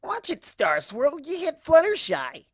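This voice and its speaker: A woman's voice: high-pitched, huge pitch swings, unhurried, no echo, studio quality, heavily acted. A woman voicing a brash, cocky young female pegasus pony with a scratchy, teasing delivery. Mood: scolding.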